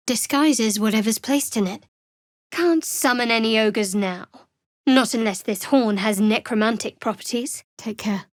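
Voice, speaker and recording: Young dark-faith cleric, fantasy game companion, cool and sardonic, slightly high voice, very dry recording. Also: female voice, dry recording. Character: guarded, wry young female cleric of a dark goddess. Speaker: video game companion character. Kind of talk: scripted fantasy game voice acting.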